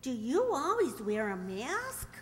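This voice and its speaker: A woman's voice: high-pitched, wildly swooping pitch, takes it slowly, exaggerated voice. A woman playing an innocent, chirpy ten-year-old farm girl in an old-time radio drama, childlike and guileless, on a hall stage. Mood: innocent, curious. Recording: echoey hall stage recording.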